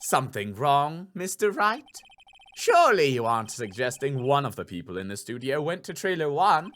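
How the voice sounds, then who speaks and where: A man's voice: mid-range voice, very animated, moderate pace, fairly bright tone. A man voicing a haughty, smug prosecutor in a courtroom video game, formal and taunting. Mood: smug, forceful.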